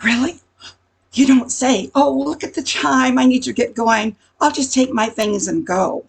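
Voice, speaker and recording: An older woman playing a nosy, gossiping neighborhood busybody in an amateur radio comedy, chatty and insinuating with a husky, creaky voice. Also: high-pitched, unhurried, slight background noise. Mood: flustered.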